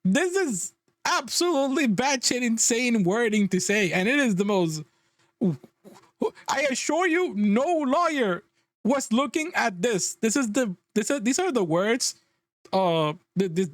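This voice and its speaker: High-pitched man ranting, dry close sound. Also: animated, unhurried, dry recording. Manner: exasperated, sweary, emphatic. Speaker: livestreamer reacting to news. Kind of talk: casual ranting reaction commentary.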